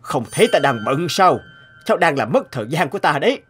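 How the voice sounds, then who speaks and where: A man's voice: a little high, very animated, quick pace. A man playing a stern, self-important old scientist in a cartoon, brusque and pompous. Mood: curt. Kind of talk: animated cartoon dialogue. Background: music.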